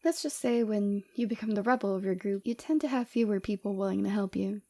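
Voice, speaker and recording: Mellow, flirtatious villainess played by a woman. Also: mid-range voice, brisk, close to an everyday voice. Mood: tender, concerned. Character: flirtatious, forward female villain, rebel fighter, secretly caring. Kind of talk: ASMR roleplay acting.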